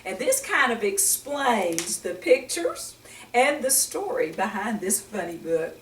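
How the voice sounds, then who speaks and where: Slow, animated woman storyteller in roomy echo. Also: mid-range voice, a little hiss or hum. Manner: animated, gentle teacher-like, asking the listener questions. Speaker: children's story reader and host. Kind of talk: storytime talk to young children with Bible lesson. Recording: roomy echo, distant microphone.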